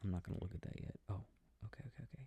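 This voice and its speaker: A man softly rambling to himself as he plays a video game for a sleep aid, often dropping into whispers, with a breathy, gravelly voice close to the mic. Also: low-pitched, very fast. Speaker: audio creator playing a video game. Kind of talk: soft rambling gameplay talk for a sleep aid. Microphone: close, dry microphone.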